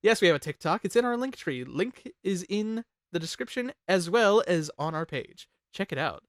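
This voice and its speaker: A talkative host runs a casual ranking chat with plenty of joking and swearing. The pitch swings around in an animated way, and the recording is dry and close. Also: moderate pace, no echo. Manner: jokey, profane, chatty. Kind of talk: casual chat ranking songs on a panel show.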